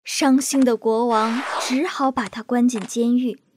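A woman narrating bedtime fairy tales for young children in a scripted, expressive way. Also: a little high, unhurried, background noise. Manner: lively, expressive. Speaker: storyteller.

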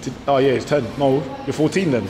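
Teasing vlogger in a busy cafe. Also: male voice, medium pitch, fairly quick, heavy background noise. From England. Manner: teasing, playful banter with laughter. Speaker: travel vlogger. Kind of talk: casual joking vlog chat. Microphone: handheld action camera at arm's length. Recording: crowded cafe with long reverb.

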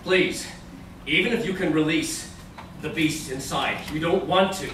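A man's voice: fairly high pitch, a little slow, a bit bright, a little hiss or hum. An actor playing a frightened, pleading male captive in a live radio play reading. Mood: alarmed, pleading. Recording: echoey room, distant from mic.

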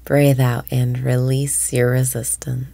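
Woman, meditation guide, slow pace, gravelly, whispering, soothing. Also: extremely low pitch, flat delivery, mellow, no room sound.

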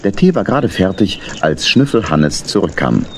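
A man's voice: deep voice, big pitch swings, normal speed, a little hiss or hum. A man narrating a children's story as a scripted storyteller.

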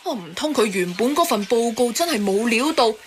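A woman's voice: on the high side, expressive, moderate pace, a little hiss or hum, lightly acted. A woman playing a well-informed, critical character who explains things pointedly in a radio drama. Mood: pointed, explaining.

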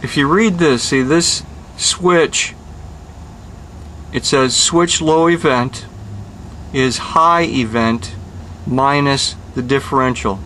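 A middle-aged man working as an HVAC technician, explaining a repair step by step in a plain, unhurried way with many pauses, recorded outdoors beside an air conditioning unit. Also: mid-range voice, bright, forceful tone, a little hiss or hum. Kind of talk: hands-on repair tutorial.

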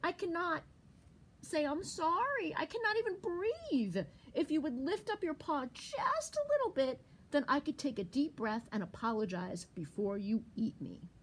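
A woman's voice: sits high, very animated, moderate pace, voice pushed up, low-quality recording. A woman voicing a small, cocky young male squirrel in a children's storybook, chirping in a sing-song way. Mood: pleading. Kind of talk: storybook read-aloud for children.

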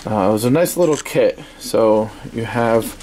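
A man with a fairly low voice talks very slowly through a casual product review. His delivery is halting and offhand, and there is clear noise from the room around him. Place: small indoor workspace at a desk. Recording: steady noisy room ambience.

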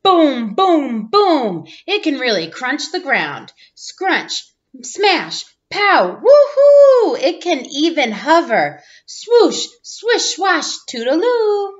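Woman, 30s, high and very lively read-aloud for young children, playful and expressive. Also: very slow. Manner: expressive, playful exclamations and sound effects. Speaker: teacher reading aloud. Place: small room at home, indoors. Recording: dull lo-fi webcam audio.

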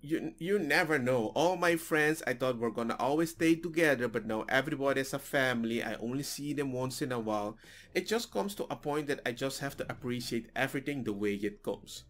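A man's voice: medium pitch, fairly quick, a bit bright, soft background music. A man in his forties whose first language is Papiamento, musing casually in a reaction vlog from a small home room, with restarts and slips. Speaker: reaction video host. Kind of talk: casual reflective monologue. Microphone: headset.

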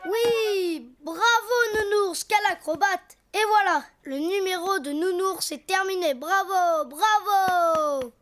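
High, chirpy little donkey boy. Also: slow pace, few pauses, soft background music. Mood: playful, self-amused. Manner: playful, self-narrating, exclaiming. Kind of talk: French preschool cartoon voice acting.

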